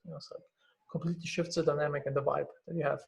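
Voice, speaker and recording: German guy talking fast on a podcast, bit gravelly, super candid, lots of um and like. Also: on the high side, little pitch movement. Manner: candid, swears casually, lots of fillers. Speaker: guest, company CEO. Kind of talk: casual podcast conversation.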